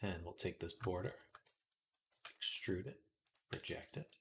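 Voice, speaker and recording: Low-voiced, lively man livestreaming at work. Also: a little slow, a little hiss or hum. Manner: mutters to himself, then addresses viewers brightly. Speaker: livestream host, 3D artist. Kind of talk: casual livestream tutorial chat while working. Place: indoors at a computer desk.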